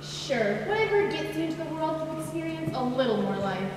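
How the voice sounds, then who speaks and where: A teenage girl acting a prickly, blunt, sardonic woman in a high school stage play, curt and dismissive. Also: very animated, fairly quick. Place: high school stage, audience camera far away. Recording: noisy echoey hall, recorded from far off.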